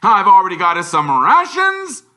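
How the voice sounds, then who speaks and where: Storybook uncle, voiced by a male reader: frantic, bossy, middle-aged man, pitched high, sharp and forceful. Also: huge pitch swings, full character voice. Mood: gleeful. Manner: loud, forceful, drawn-out barking orders.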